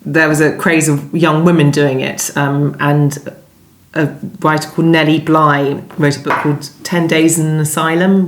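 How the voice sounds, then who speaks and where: A woman talks conversationally as an interview guest. She is hesitant and rephrases herself as she goes. The room adds clear echo. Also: low voice. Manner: hesitant, self-correcting. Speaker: novelist guest. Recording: roomy, echoey sound.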